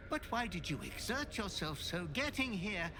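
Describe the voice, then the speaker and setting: An actor playing a fawning, ghoulish butler in a video game, obsequious, theatrical and gleefully macabre. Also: male voice, high voice, noisy background, heavily acted. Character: fawning, ghoulish goblin-like butler to a murder cult. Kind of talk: video game character acting.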